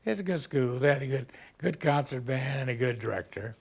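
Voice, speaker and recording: Gravelly older Texan man reminiscing. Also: mid-range voice, animated, brisk, a little mellow. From Texas. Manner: rambling, folksy storytelling with chuckles. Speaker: retired band director. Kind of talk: reminiscing interview answers.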